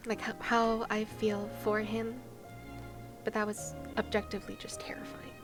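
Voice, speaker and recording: A young adult woman chatting casually as a game streamer, with an expressive, giggly, reactive way of talking. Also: mid-range voice, normal speed. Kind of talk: casual game livestream chat. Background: faint game music.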